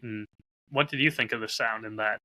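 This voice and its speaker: A man's voice: lowish voice, brisk, pushed and bright. A man in casual podcast conversation, talking as a host in an upbeat, enthusiastic way about his own work. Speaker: podcast host and audio drama creator.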